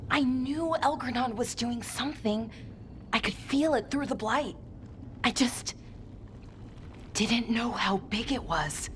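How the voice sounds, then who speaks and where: Gentle, earnest fantasy game heroine voiced by a woman, slightly high voice, unhurried delivery, faint noise behind. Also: lightly acted. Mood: hesitant, relieved. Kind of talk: scripted fantasy game dialogue.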